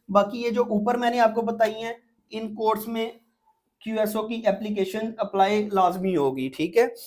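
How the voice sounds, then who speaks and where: A man's voice: high voice, a little mellow. A man with a Pakistani accent giving an exam-prep lecture, explaining step by step as a teacher and often repeating points with little check-in words.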